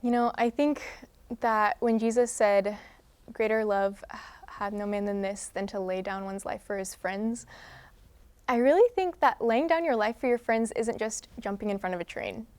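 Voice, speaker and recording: A woman's voice: medium pitch, moderate pace, darkish tone. A young woman, a student leader, speaking earnestly and thoughtfully as an interview guest about faith and service. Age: young adult. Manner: earnest, thoughtful, quotes scripture. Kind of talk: reflective faith interview talk.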